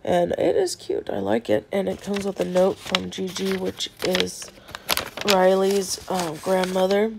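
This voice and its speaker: A woman in her thirties with a hoarse, congested voice from a head cold, chatting casually to her phone while lying in bed. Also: unhurried, noisy background. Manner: congested, low-key, rambling with many fillers. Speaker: book unboxing vlogger. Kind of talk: casual chat to camera. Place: bedroom, lying on a bed indoors. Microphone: phone held close at arm's length.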